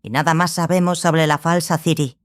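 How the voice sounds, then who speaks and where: Woman playing a composed, low-key scholarly sorceress mentor. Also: fairly even intonation, moderate pace, lightly acted. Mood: explanatory. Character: small sorceress, learned lady mentor, composed and exacting. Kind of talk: audiobook drama acting.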